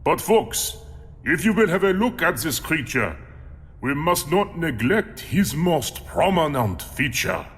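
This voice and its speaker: A man's voice: on the high side, big pitch swings, a little slow, fairly bright tone, voice pushed up. A man voicing a cruel, theatrical showman who taunts and proclaims like a carnival barker. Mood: grand, boasting. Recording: long hall reverb.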